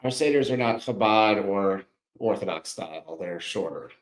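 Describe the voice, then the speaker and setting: A man's voice: fairly low pitch, lively intonation, moderate pace. A man co-hosting a podcast, bantering in friendly, teasing casual chat. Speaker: podcast co-host. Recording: roomy echo.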